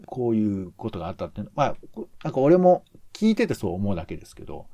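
A man's voice: lowish voice, very animated, fast, dark tone, no room sound. A man co-hosting a casual podcast discussion, explaining his points as he thinks aloud. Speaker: podcast co-host. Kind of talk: casual podcast discussion critiquing radio shows.

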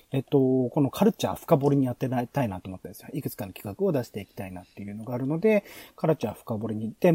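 A man hosting a podcast, talking through plans in a halting, explanatory way with frequent asides. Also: medium pitch, dark tone, slightly rough, no echo. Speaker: podcast host. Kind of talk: planning discussion on a podcast.

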